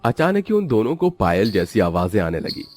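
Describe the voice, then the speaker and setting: A man's voice: fairly low pitch, sing-song, normal speed, darkish tone, soft background music. A man narrating a scripted story in a dramatic storytelling style.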